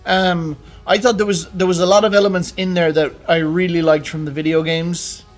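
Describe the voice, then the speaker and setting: A man's voice: high voice, flat delivery, normal speed, soft background music. A man co-hosting an informal, conversational chat about games and films. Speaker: podcast co-host.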